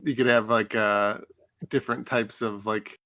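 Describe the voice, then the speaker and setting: A man's voice: fairly low pitch, fairly even intonation, unhurried, fairly bright tone. A man chatting informally as a podcast regular, thinking aloud and telling anecdotes. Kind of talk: casual chat, anecdotes.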